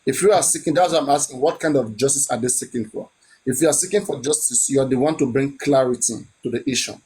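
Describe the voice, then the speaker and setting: Male guest, mid-pitched, insistent and argumentative, rhetorical questions. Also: fast talker, darkish tone, low-quality recording. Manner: insistent, repeats points, asks rhetorical questions. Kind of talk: animated opinionated chat.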